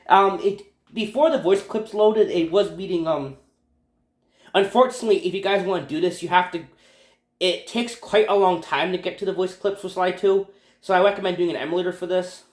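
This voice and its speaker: A man giving casual, unscripted gaming commentary, rambling and repeating himself as he stumbles over his words. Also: high voice. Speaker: video game YouTuber. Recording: echoey room.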